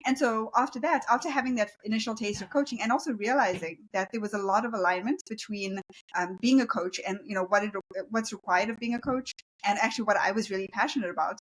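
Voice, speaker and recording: A woman's voice: mid-range voice, fast, low-quality recording. A woman from South Africa with a South African accent, a leadership coach speaking earnestly and reflectively as an interview guest, with many fillers. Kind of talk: conversational interview.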